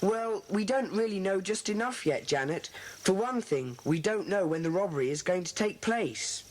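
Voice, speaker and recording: Earnest high-voiced English schoolboy leader. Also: male voice, expressive, normal speed. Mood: earnest, deliberating. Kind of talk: dramatised children's audiobook acting. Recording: faintly noisy old cassette recording.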